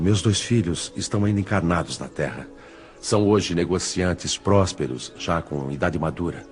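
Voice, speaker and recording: A Brazilian man playing the sorrowful, self-pitying spirit of a betrayed husband in an audiobook drama, speaking deliberately and in literary phrases. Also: deep voice, a little mellow. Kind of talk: dramatized audiobook dialogue, confessional. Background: music.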